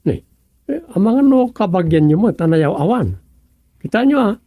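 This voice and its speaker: A man's voice: high-pitched, sing-song, normal speed, warm tone, no room sound. An actor playing a put-upon, sarcastic village chairman in a radio comedy. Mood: inquiring. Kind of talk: radio comedy drama acting.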